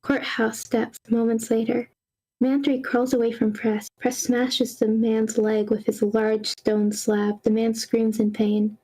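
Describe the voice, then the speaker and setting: A woman's voice: on the high side, flat delivery, slow, warm tone, low-quality recording. A woman reading screenplay action lines aloud as the narrator of a live table read.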